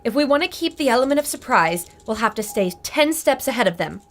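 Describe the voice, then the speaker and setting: An actress playing an intrepid young woman reporter who speaks in a sharp, decisive way. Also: on the high side, lively intonation, brisk. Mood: determined. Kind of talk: audio drama acting.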